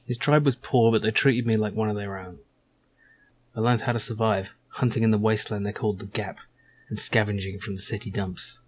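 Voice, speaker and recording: A man's voice: lowish voice, fairly even intonation, brisk, slightly rough. A man narrating as a young male survivor telling his own story in a wry, reflective storybook style.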